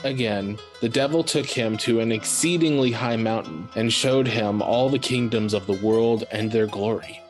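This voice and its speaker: A man narrates in a solemn, measured way, as a dramatic reader of scripture. His pitch sits in the middle, and each phrase is set out deliberately over faint music.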